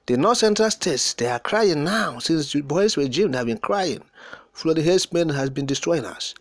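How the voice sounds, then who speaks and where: A man's voice: fairly high pitch, expressive, moderate pace, no room sound, studio quality. A man with a Nigerian accent giving impassioned, unscripted political commentary in an emphatic, oratorical way, repeating and piling up words for emphasis.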